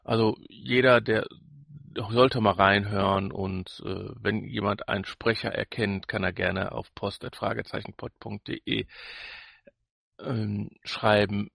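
A man co-hosting a podcast in casual chat, husky and creaky, rambling and searching for words. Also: fairly low pitch, steady tone, measured pace, fairly bright tone, no room sound, studio quality. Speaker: podcast co-host.

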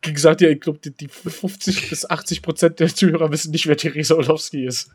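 A man's voice: on the high side, fairly even intonation, fast talker, darkish tone, husky. A man with a German accent chatting casually and playfully as a podcast co-host, often interjecting. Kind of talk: casual joking banter.

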